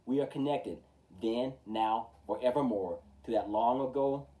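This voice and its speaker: A man hosting a storytime, reading a rhyming children's book aloud in a theatrical, measured way, with emphatic openings and falling line endings. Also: mid-range voice, dark tone, recorded from a distance, low-quality recording. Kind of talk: expressive children's picture-book read-aloud in rhyme.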